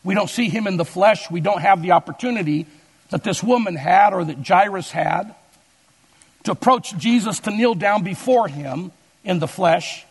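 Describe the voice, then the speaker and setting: Gravelly high-voiced preacher in a reverberant hall. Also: male voice. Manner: deliberate preaching with emphatic slow stresses. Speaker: pastor. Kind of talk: sermon.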